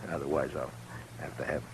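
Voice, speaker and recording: Deep, gravelly frontier lawman. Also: unhurried, full character voice. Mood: easygoing. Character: weary, steady frontier lawman, adult man, wryly amused. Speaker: actor in a radio western. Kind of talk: 1950s radio acting. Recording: noisy 1950s radio broadcast recording.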